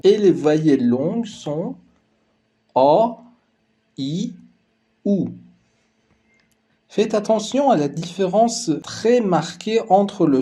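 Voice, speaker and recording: A man whose first language is Persian, calmly narrating a scripted lesson as the teacher. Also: sits high.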